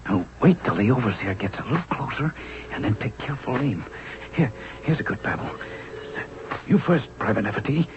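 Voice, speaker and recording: A man's voice: medium pitch, animated, brisk, close to an everyday voice. An actor playing a bold, defiant boy who leads his playmates as a commander, in a radio drama. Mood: commanding, eager. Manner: commanding, plucky. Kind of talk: old-time radio drama acting. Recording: muffled radio recording with noise.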